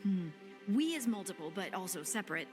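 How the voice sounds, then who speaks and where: An actress playing an earnest dwarf scout in a video game, musing haltingly with a searching, mystical air. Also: female voice, on the low side, big pitch swings, over music. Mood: awed musing. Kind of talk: video game cutscene acting.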